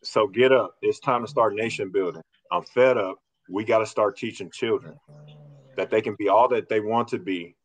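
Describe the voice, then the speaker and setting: Deep-voiced playful podcast host. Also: male voice, moderate pace, husky, no echo, low-quality recording. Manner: playful, teasing, hip hop slang. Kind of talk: casual podcast chat.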